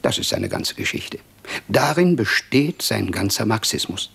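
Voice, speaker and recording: Garrulous older man, very husky, creaky, wry gossip, exclamatory, radio drama. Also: on the low side, wildly swooping pitch, unhurried, fairly bright tone, heavily acted. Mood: musing, pointed. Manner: chatty, exclamatory, rambling storytelling. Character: garrulous older Russian prosector, wryly lamenting, gossipy. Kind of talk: 1950s German radio play acting.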